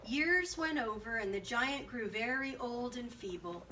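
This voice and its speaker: A woman narrating a children's story aloud in an expressive read-aloud style. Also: fairly high pitch, unhurried. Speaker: storybook reader. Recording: distant mic, faint background noise.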